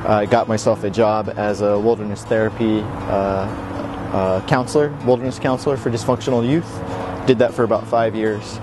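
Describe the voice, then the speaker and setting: Lower-voiced halting man, noisy background. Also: steady tone. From Canada. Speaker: interviewee. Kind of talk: casual unscripted interview.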